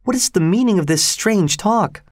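Audiobook role: headstrong young lady, high voice, sharp, impatient and defiant, performed by a man. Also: expressive, moderate pace, dry recording, studio quality. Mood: indignant. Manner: sharp, indignant. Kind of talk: audiobook character voice.

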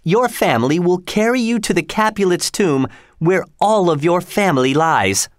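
A man reading aloud, voicing an old friar who calmly lays out a plan. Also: high-pitched, normal speed, dry recording, studio quality. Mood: instructing. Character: elderly male friar, calm and scheming.

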